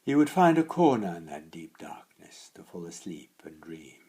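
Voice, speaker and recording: Male storyteller, deep and highly varied in pitch, for dramatic dark fairy-tale narration. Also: sing-song, normal speed. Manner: theatrical, hushed then swelling phrasing. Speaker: author reading his own story.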